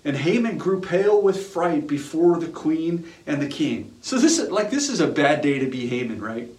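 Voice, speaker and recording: Guy pastor preaching kinda casual n colloquial, voice a bit high, echoey room, repeats stuff to hammer it home. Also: big pitch swings, moderate pace, a little mellow. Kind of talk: sermon with conversational storytelling and humor. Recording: roomy, echoing sound.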